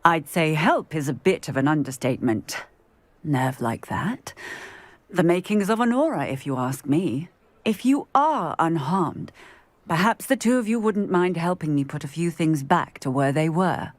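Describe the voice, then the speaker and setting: Fussing villager, lowish lively voice, dry close sound. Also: female voice, a little slow, no room sound. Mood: startled. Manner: exclaiming, fussing. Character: startled, admiring village woman. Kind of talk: video game cutscene.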